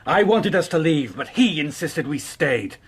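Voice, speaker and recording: An actor playing a proud, protective married gentleman in a radio drama, curt and formal, with a husky voice. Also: fairly high pitch, sing-song, unhurried, a little hiss or hum. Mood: bitter grief. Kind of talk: British radio drama acting.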